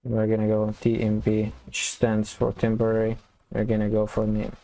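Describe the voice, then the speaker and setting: Low-pitched, monotone man teaching coding. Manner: informal, halting, step-by-step explaining. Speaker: programming tutorial instructor. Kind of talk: casual screen-recorded coding walkthrough, thinking aloud.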